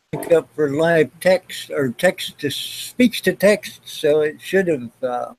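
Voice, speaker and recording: A man with a somewhat high voice who speaks slowly and haltingly, hesitating often. He is joining over a video call. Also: creaky, a little hiss or hum, rough recording. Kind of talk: casual chat.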